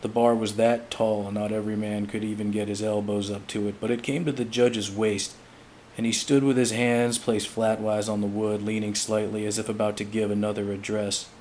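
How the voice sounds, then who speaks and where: A man giving a dramatic reading of literary fiction in a measured, solemn storytelling manner. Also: lowish voice, flat delivery, a little mellow, slight background noise, low-quality recording. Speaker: amateur audiobook reader.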